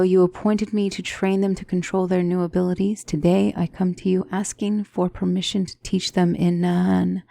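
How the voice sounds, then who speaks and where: Female demonic tutor, played by a woman, fantasy ASMR roleplay: lowish, gravelly-edged voice, poised and courtly, a gently reassuring mentor. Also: dry recording. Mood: formal, deferential. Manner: formal, measured, courtly phrasing. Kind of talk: ASMR fantasy roleplay, scripted character acting.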